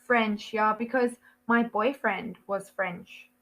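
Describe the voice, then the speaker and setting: A woman's voice: medium pitch, steady tone, slow, creaky, fairly bright tone, low-quality recording. A young South African woman with a South African accent, an online English tutor chatting casually over a video call, laughing often and full of ums and likes. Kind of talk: casual one-to-one lesson chat.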